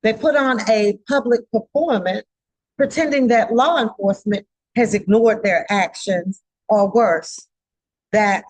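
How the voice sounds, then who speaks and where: A woman delivering a formal scripted public address in a stern, solemn, emphatic manner, with halting phrasing. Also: mid-range voice, a little mellow, dry recording, low-quality recording. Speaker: judge giving a public address.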